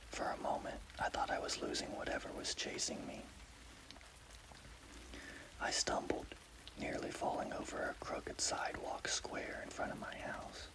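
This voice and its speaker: A man telling a scary story in a breathy, unvoiced ASMR whisper. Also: rough recording. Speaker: horror story narrator.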